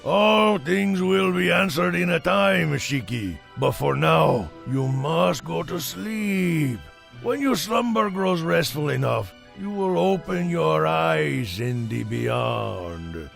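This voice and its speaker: A man voicing a high-pitched, theatrical doting cartoon father. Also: takes it slowly, vocal fry, faint music behind, exaggerated voice. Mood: tender, solemn. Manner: grand, expressive, gently reassuring. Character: doting, theatrical father guiding his child. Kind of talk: animated film voice acting.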